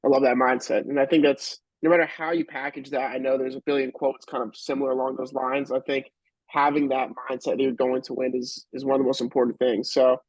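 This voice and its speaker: A man's voice: mid-range voice, little pitch movement, a little fast, no echo, poor audio. A man hosting an interview podcast, asking long, rambling questions with enthusiasm and frequent restarts. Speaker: podcast host.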